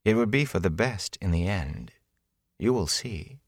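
A man voicing a cold, menacing young woman who serves a dark lord, unhurried and condescending, in an audiobook. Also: low voice, animated, no echo, clean studio sound, exaggerated voice. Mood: cool, coaxing. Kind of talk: audiobook character acting.

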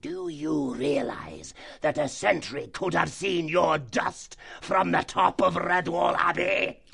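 A man's voice: mid-range voice, expressive, gravelly, a bit bright, husky, pitched above the performer's own voice, heavily acted. A man voicing a cruel, hooded male fox who leads a band of slavers, with a harsh, grating, bullying way of talking. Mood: menacing. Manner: menacing, bullying, drawn-out threats. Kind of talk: audiobook character voice.

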